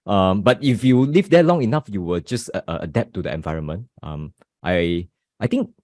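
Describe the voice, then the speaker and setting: Casual Singaporean co-host, native Mandarin speaker, lowish voice, dry close mic. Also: male voice, lively intonation, normal speed, darkish tone, no room sound. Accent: Singaporean English. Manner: chatty, compares places from experience. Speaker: podcast co-host. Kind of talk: casual chat.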